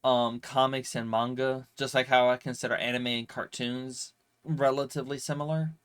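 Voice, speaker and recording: A man's voice: medium pitch, level tone, normal speed, fairly bright tone, smooth. A man with a slight cold and a stuffy, nasal voice, rambling casually with lots of fillers and swearing as a streamer answering viewer questions. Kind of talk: casual chat.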